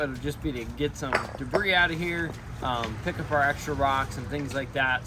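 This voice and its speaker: A man hosting a casual building vlog, explaining his outdoor job site work step by step in a relaxed, upbeat way. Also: medium pitch, noisy background. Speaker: pond builder, show host.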